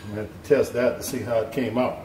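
A man's voice: mid-range voice, fairly even intonation, fast. Man, easygoing cooking-show host, home kitchen, faint clatter of pots. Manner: folksy, easygoing, talks the viewer through each step. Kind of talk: casual cooking demonstration. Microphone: camera over the stove, hands in frame. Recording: echoey kitchen, faint pan noise.

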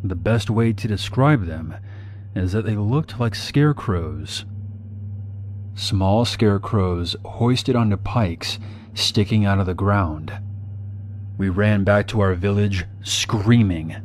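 A man in his forties from Somalia, narrating his own past in a somber, measured way. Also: on the low side, a little mellow, slight background noise. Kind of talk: scripted first-person narration.

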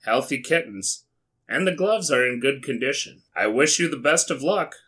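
An American man hosting a comedic read-aloud, dropping wry, sweary, deadpan asides in a casual way. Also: mid-range voice, normal speed, slightly rough. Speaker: YouTube host. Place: small indoor studio with foam panels.

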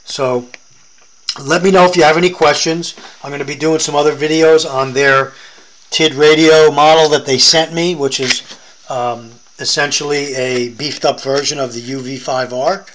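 A man's voice: on the high side, steady tone, moderate pace, fairly bright tone, slight background noise, poor audio. An American man demonstrating a product in a casual hands-on tutorial, explaining informally step by step.

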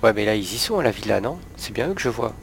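A man with a stuffy cold, talking loudly and bluntly with his squad over game voice chat. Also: fairly low pitch, lively intonation, fast talker, creaky. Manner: loud, blunt, swears casually. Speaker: player. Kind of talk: gaming voice chat.

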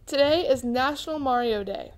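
A woman's voice: high voice, moderate pace. A young woman co-anchoring a student morning news show in a small studio, reading announcements steadily. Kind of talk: school morning news broadcast. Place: indoor studio before green screen.

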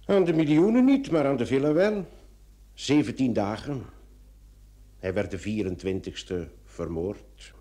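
Guy acting a confident sleuth type in an old radio play, mellow voice, mid pitch, emphatic reasoning. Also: expressive, unhurried, lightly acted. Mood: assured, deductive. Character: methodical, self-assured man reasoning out a murder.

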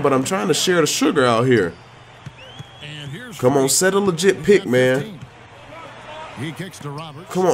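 A man giving excited, slangy commentary on his own video game play, full of playful trash talk. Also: on the high side.

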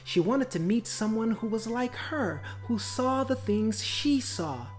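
A man narrates a children's storybook with deliberate, dramatic phrasing. His high, animated voice rises and falls widely over a light musical backing. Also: soft background music. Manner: expressive, deliberate phrasing with drawn-out words. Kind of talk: dramatic children's read-aloud.

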